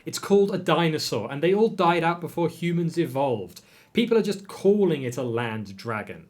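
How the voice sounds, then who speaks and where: Man reading a story aloud as a tired young captain, flat and patient. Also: a little high, normal speed, voice pushed up, lightly acted. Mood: weary. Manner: tired, flatly explaining. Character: weary young male sports captain.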